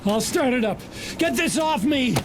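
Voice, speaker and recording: An actor playing an anxious, boastful weapons scientist with an excitable, pleading manner in a video game cutscene. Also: male voice, very high voice, unhurried, noisy background. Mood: eager, nervy. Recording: loud music behind the voice.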